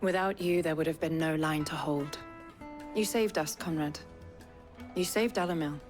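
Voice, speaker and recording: An earnest townswoman played by a woman speaks in a low voice. She is a fantasy game figure, with plucked-string music behind her. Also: normal speed, natural voice. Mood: grateful. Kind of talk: video game cutscene acting. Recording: game dialogue over music.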